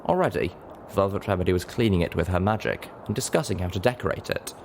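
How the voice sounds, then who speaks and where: A man reading a story aloud in expressive scripted narration. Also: low-pitched, quick pace, a little hiss or hum. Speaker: audiobook narrator.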